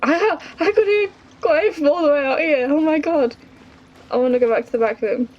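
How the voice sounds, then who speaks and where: A woman's voice: sits high, brisk, a little mellow, lo-fi sound. A woman, reacting casually as she streams a game.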